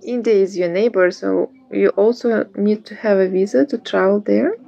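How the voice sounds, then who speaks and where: Gravelly-voiced woman on a video call. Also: mid-range voice, moderate pace, warm tone. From Lithuania. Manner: short blunt replies in simple English. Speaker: language exchange partner. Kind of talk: casual video call chat. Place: Ireland. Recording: dull lo-fi call audio.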